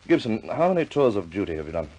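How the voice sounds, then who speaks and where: An actor playing a middle-aged senior RAF commander in a 1950s British war film, speaking in a measured, clipped British accent with firm but kindly authority. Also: male voice, lowish voice, very animated, a little mellow, breathy edge. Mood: firm, formal.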